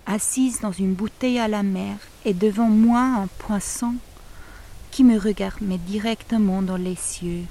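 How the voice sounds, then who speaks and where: Podcast host, woman, unhurried lyrical reader with a warm, dark voice. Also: mid-range voice, a little hiss or hum. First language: German. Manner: slow, intimate, lyrical delivery. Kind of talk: reading a poetic letter.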